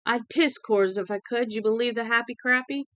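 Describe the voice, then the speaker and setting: A crude, volatile little Southern drunk from a novel, played by a woman reader. He rants and threatens in profane bursts, at her middle pitch, recorded very dry and without room sound. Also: steady tone, moderate pace, dry recording, lightly acted. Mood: menacing outrage. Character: small, drunk, menacing young Southern man, crude and volatile.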